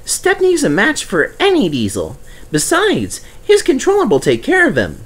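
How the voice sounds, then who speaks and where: A man voicing a young, eager and excitable little tank engine with a squeaky voice, for a storybook. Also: moderate pace, husky, heavily acted. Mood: eager, earnest.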